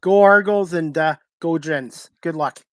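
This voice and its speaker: Higher-pitched male sports talk host. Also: measured pace, fairly bright tone, dry recording, studio quality.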